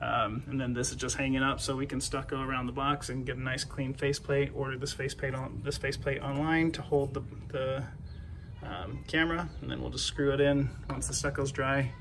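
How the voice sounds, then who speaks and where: Male DIY homeowner, medium pitch, halting informal tutorial delivery, outdoor recording with background ambience. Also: level tone, a bit bright, a little hiss or hum. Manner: halting, repeats words while explaining. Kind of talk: casual hands-on tutorial. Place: outdoors by a house front door.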